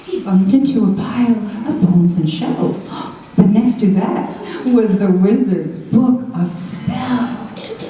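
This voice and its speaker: A woman narrates a rhyming fairytale for children with theatrical flair. Her voice is soft-toned and very animated in pitch. It comes from a distance in a heavily echoing hall, with faint music. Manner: dramatic, expressive. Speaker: children's storyteller. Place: school show before children.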